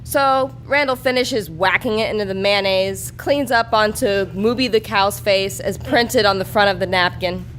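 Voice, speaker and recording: A woman narrates a bawdy comic story live on stage. Her pitch is average for a woman. Some noise from the venue sits behind her voice. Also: moderate pace, hardly any pauses, fairly bright tone, low-quality recording. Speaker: story reader and performer. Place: live show before an audience.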